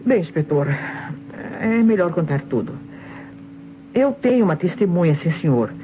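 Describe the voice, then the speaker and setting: An actress playing an agitated, defensive wife under police suspicion, with a Brazilian accent, in an old radio detective drama. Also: female voice, fairly low pitch, lively intonation, moderate pace, dark tone, natural voice. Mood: pleading, agitated. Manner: emphatic, protesting. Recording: dull old radio recording, faint noise.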